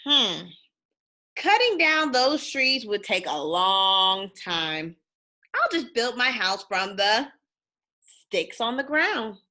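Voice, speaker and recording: A woman's voice: on the high side, very animated, takes it slowly, bright, forceful tone, full character voice. A woman voicing a creative, impulsive young boy piglet in a storybook, musing aloud in an upbeat way.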